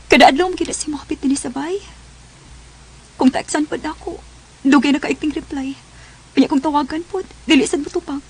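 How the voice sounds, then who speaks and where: A woman's voice: sits high, a little mellow. An actress playing an emotional, pleading young woman betrayed by her boyfriend, in a radio drama. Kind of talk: radio drama acting and first-person narration. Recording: music behind.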